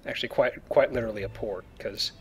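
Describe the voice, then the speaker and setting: A man's voice: fairly low pitch, fast, fairly bright tone, breathy edge. A man hosting a casual Let's Play, explaining the game and joking as he goes. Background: video game sounds.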